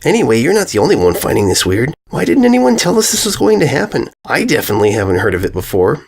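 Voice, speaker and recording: A man's voice: mid-range voice, huge pitch swings, fast, vocal fry, slightly rough, pitched above the performer's own voice, heavily acted. A man voicing a brash, skeptical young tomboy schoolgirl pony in a storybook reading, blunt and casual.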